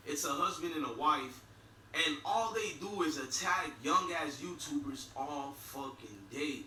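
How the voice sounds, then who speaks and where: Blunt Haitian-accented man ranting, bright. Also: on the high side, a little hiss or hum. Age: middle-aged. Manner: blunt and profane, mocking laughs. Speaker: YouTube commentator. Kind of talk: ranting video commentary. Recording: echoey room, far from mic.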